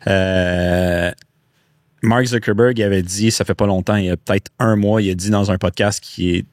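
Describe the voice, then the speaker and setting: A low-voiced man with a Québécois accent hosts a podcast. He asks his questions in a relaxed, conversational way. The recording is dry with no room sound. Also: normal speed, dry recording. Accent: Québécois French. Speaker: podcast host. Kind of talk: casual interview chat.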